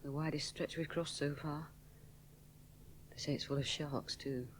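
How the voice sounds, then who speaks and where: A woman's voice: low-pitched, steady tone, measured pace, a little hiss or hum. An actress playing a young woman aviator alone over the sea, musing inwardly, often dropping to a whisper. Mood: brooding. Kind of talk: 1970s radio drama inner monologue.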